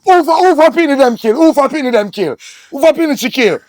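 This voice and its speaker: A man's voice: medium pitch, expressive, fast talker, natural voice. A man with a Jamaican accent acting an anxious street man trying to defuse trouble, urgent and repetitive, in a drama. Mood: agitated, urgent.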